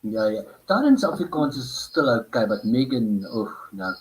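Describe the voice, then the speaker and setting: A man from South Africa hosts a live online stream, talking through problems out loud with plenty of ums and restarts. His voice sits at an ordinary middle pitch, and the sound comes through a plain computer microphone on a video call. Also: very animated, moderate pace, a little hiss or hum, low-quality recording. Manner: hesitant, many fillers, thinking aloud. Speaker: livestream host. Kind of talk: casual chat while troubleshooting.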